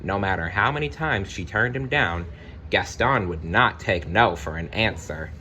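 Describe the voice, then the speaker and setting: A man reading a children's story aloud, with expressive, deliberate narration and the odd personal aside. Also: low-pitched, slight background noise. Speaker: storybook reader.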